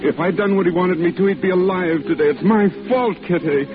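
An actor playing a guilt-stricken man with halting, intense, anguished delivery in a 1940s radio melodrama. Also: high voice, over music, close to an everyday voice. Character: guilt-stricken man confessing blame for a friend's death. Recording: tinny, hissy old radio broadcast.

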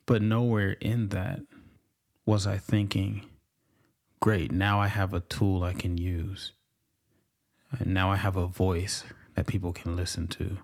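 A man with a very low, deep voice talks through his faith in a slow, reflective monologue. He muses aloud, pausing and restarting his sentences as his thoughts form. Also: little pitch movement. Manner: musing, halting, many restarts and fillers.

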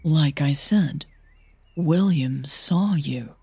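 An earnest, cautioning female coach, as a woman narrator plays her in an audio drama. She is hesitant but persistent, measured and persuasive, with a low, husky voice. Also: mellow, no room sound, heavily acted.

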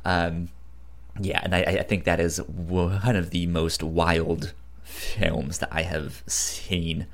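A man with a fairly low voice talks at a very slow pace in casual podcast chat. He stutters often, repeating syllables before getting the word out. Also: flat delivery. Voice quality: frequent stutter, repeating syllables and blocking on words.

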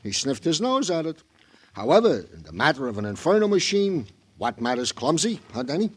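An actor playing a chatty, ornately courteous New York police sergeant in an old radio detective drama, with theatrical phrasing. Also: male voice, on the high side, huge pitch swings, fairly quick, slightly rough, full character voice. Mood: pompous. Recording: noisy old radio show recording.

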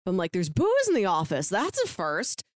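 A woman chats casually and jokily, her pitch swinging widely as she gets animated. She likes to act out little quotes as she goes, and the sound is dry and close. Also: normal speed, no echo. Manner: animated, jokey, acts out quotes. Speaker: podcast co-host. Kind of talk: casual book-discussion chat.

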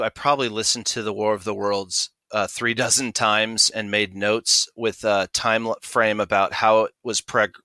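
A man's voice: lowish voice, pushed and bright, dry recording. An American man, an audio drama producer, explaining his creative process as a guest in an interview. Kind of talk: interview answers, explanatory storytelling.

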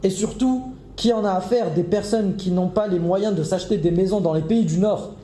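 A man plays a furious male intruder, high-pitched and slow, hurling accusations. The voice is dark and mellow and rings with room echo. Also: a little hiss or hum, lightly acted. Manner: shouting, accusing, slow and heavy.

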